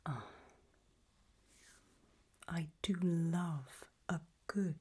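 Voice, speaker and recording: A woman playing an elegant, macabre aristocratic lady in a whispered ASMR roleplay, breathy, languid and serenely gracious. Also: lowish voice, a little mellow, full character voice. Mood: languid delight. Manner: slow, languid, genteel.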